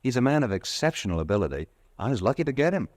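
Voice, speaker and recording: A man's voice: medium pitch, big pitch swings, rapid-fire, natural voice. An actor playing a dead man's ghost returned to taunt his killer, smug and cold, in an old radio drama. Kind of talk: 1940s radio acting.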